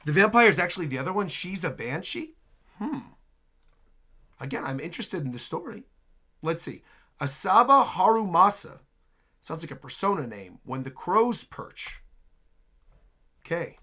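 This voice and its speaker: A man's voice: fairly high pitch, sing-song, normal speed, long pauses, pushed and bright. A man giving casual reaction commentary as a video host, full of enthusiastic exclamations.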